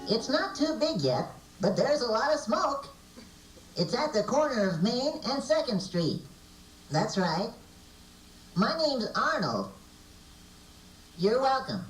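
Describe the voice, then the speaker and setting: A woman's voice: a little high, sing-song, takes it slowly, pauses often. A woman voicing an eager, helpful male puppet, cheery and earnest, in a scripted musical show for children. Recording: echoey, distant VHS sound with music.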